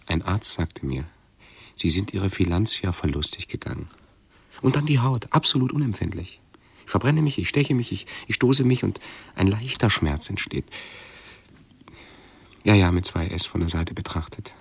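An actor playing an anxious hypochondriac who broods aloud in a German radio play, pedantic, halting and often interrupting himself. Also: male voice, deep voice, sing-song, vocal fry, slightly rough, heavily acted. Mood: troubled brooding. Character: anxious hypochondriac man obsessively rehearsing pleas to doctors.

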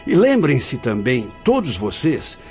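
A man's voice: mid-range voice, huge pitch swings, takes it slowly, darkish tone, faint music behind, heavily acted. A Brazilian man playing a wise, fatherly spirit director in a radio drama, speaking in a solemn, formal, preaching way. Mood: fatherly, exhorting. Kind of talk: Portuguese-language radio drama acting. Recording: dull lo-fi radio drama audio.

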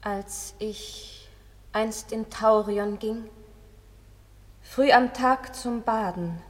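A woman's voice: a little high, drawn-out words. An actress playing a captured foreign queen, reciting lyrical, stylised verse in a German radio play. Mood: lamenting, lyrical. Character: captured foreign queen, recalling her conquest. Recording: long reverberant hall.

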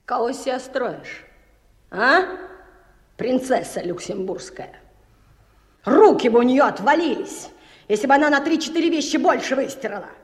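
An actress playing a resentful, sarcastic sister in a radio play, scolding indignantly, in a very reverberant recording. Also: female voice, high voice, animated, moderate pace, pauses often. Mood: indignant, scornful. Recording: long reverberant hall sound.

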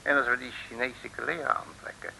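Cocky, folksy detective, bright and forceful. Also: male voice, medium pitch, steady tone, vocal fry, heavily acted. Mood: cajoling. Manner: colloquial, cajoling, hesitating with drawn-out asides. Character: cocky, folksy male detective, bossy and wisecracking. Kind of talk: 1950s comic radio serial acting. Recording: noisy old radio drama recording.

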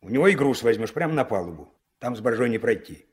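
Husky, animated Soviet river boss. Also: male voice, mid-range voice, fast talker. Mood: serious, pressing. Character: genial but pressing male river-port dispatch chief. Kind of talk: 1970s Soviet radio play acting. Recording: old mono radio play recording.

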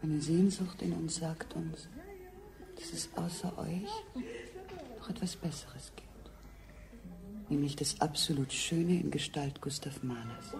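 A woman's voice: sits low, steady tone, measured pace, creaky, long pauses. A woman playing a lofty, contemptuous female figure who declaims in a science fiction radio drama. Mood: lofty, scornful. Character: scornful woman idolising beauty, despising her captors. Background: music.

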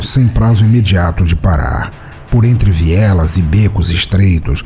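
A man's voice: low voice, warm tone, a little hiss or hum. A Brazilian man narrating fiction from a script in a dramatic, wry, ironic storytelling manner. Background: music.

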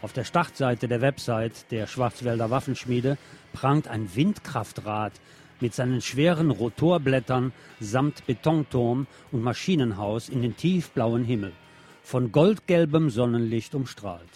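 Give me a male radio presenter reading a scripted news commentary. He speaks formally and pointedly, as a critic would, at a middling pitch. Music plays clearly behind his voice. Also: a little slow, darkish tone. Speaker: radio host.

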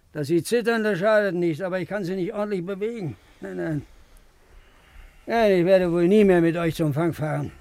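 A man's voice: high-pitched, darkish tone, dry recording. An older man acting a grumpy, stubborn old fisherman in a 1950s radio drama, querulous and scolding.

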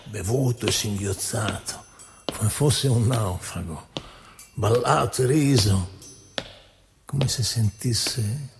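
A man reciting poetry dramatically, as in radio theatre, with measured, deliberate phrasing and pauses. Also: lowish voice, big-room sound. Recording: voice over prominent music. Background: loud musical instrument.

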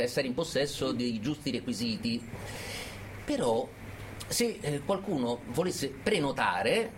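Man acting smug, lively high-voiced prison tour host. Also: a little hiss or hum. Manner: unctuous, sardonic, theatrical. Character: smug male prison host, mock-cheerful tour guide. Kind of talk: satirical stage-style radio theatre monologue.

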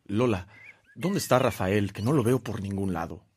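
An actor playing a teasing man of a country household in a radio drama, jocular and animated. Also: on the low side, normal speed, slight background noise, close to an everyday voice. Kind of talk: Spanish-language radio drama acting.

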